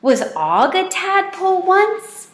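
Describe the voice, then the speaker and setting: Woman as a high, curious schoolchild. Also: sing-song, takes it slowly, echoey room, full character voice. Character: young schoolchildren, curious and chatty.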